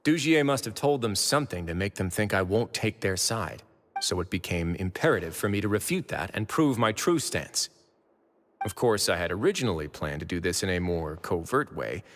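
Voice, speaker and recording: A man playing a composed, shrewd prison official in a video game, speaking in a measured, dryly courteous manner. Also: on the low side, fairly bright tone, big-room sound, a little hiss or hum. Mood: deliberate. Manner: measured, unhurried, dry. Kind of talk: video game character acting. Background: game music.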